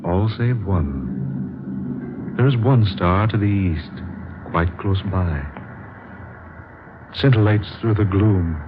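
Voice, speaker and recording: A low-voiced, earnest traveling storyteller. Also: male voice, noisy background. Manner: earnest, theatrical, measured declamation. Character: earnest, awed male traveler and storyteller, weary pilgrim. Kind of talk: 1940s American radio drama acting. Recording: muffled vintage radio recording with music.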